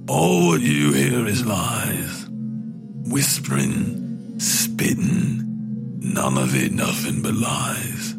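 A man voicing a grim, menacing dockworker who gives slow, whispered, ominous warnings in a horror audio story. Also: low-pitched, vocal fry, pitched below the performer's own voice, heavily acted. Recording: loud music behind the voice.

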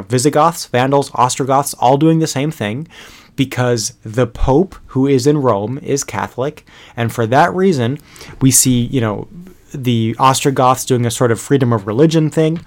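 A man narrating a history lesson in a casual, conversational way, with frequent fillers and restarts. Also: mid-range voice. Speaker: history educator.

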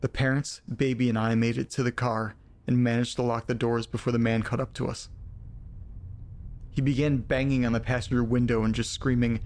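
Uneasy male actor as audio drama letter reader, creaky medium voice, deliberate storytelling, troubled and foul-mouthed. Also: medium pitch, flat delivery, a little mellow, natural voice. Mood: tense, haunted. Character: troubled man reading strange letters aloud, profane and uneasy.

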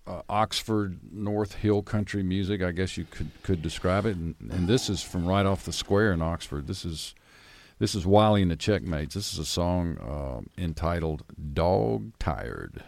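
Guy hosting a radio arts chat, real low n gravelly, folksy. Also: expressive, a little slow, darkish tone, no room sound. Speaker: radio host and interviewer. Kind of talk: conversational arts radio interview. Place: university building studio.

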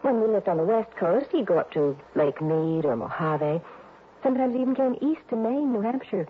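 Actress as flirtatious, animated radio socialite. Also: female voice, medium pitch, moderate pace, lightly acted. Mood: carefree. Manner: coy, breezy, slangy. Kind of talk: 1960s radio drama acting. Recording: tinny old radio with faint noise.

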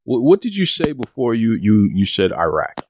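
Male podcast host, lowish voice, dry close recording, encouraging, stumbles on repeats. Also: huge pitch swings, moderate pace, no echo. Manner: warm encouraging questioner, repeats words. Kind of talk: interview questions.

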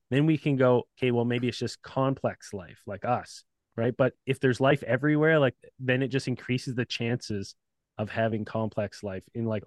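A man's voice: medium pitch, darkish tone, dry recording, clean studio sound. A man chatting casually as a podcast guest, laid-back and profane, laughing often between thoughts. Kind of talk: casual chat.

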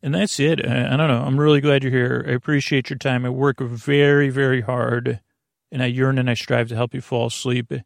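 A man's voice: medium pitch, flat delivery, fairly quick, no echo, clean studio sound. A man hosting a sleep podcast, talking in a meandering, earnest way that keeps correcting itself. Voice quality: creaky. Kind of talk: rambling bedtime podcast talk.

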